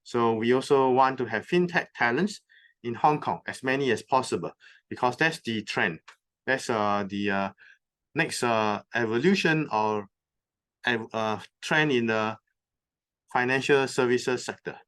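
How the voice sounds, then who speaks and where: Man presenting, halting with many fillers. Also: medium pitch, a bit bright. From recently moved from North America. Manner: halting, many "uh" fillers, explains terms step by step. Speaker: conference speaker. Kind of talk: presentation talk. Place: conference venue.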